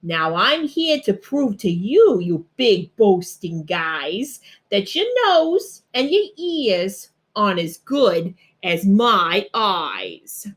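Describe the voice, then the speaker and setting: A woman voicing a little old male worm in a storybook, a know-it-all chiding slowly and deliberately. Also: mid-range voice, very animated. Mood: scolding, smug.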